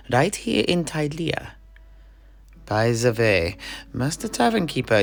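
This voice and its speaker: Worldly mercenary knight, acted by a man, animated and hesitant storyteller, gravelly edge, fantasy audio drama over faint music. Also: mid-range voice, exaggerated voice. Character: worldly, good-humoured mercenary knight telling his tale.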